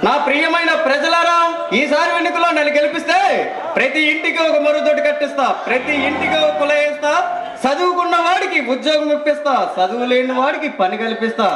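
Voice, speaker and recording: Casting: male actor as needling village sidekick, stage play, loud and declamatory, fast, very high register, noisy crowd. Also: reverberant. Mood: mocking, declamatory. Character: cheeky talkative village henchman, needling his bosses. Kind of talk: Telugu stage play acting. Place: stage at a village drama show.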